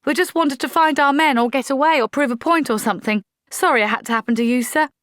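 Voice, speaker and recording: An earnest young woman soldier answers her officer firmly and dutifully as a woman reads her aloud. The voice sits raised and bright. A close, dry storybook reading. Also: high-pitched, fast, dry recording, voice pushed up. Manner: firm, apologetic, dutiful.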